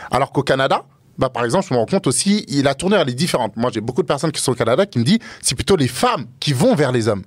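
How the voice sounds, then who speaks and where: A man from Africa with a deep, carrying voice, chatting casually as a guest and voicing other people's remarks as he tells things. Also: sing-song, racing, dry recording, clean studio sound. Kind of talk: casual conversation.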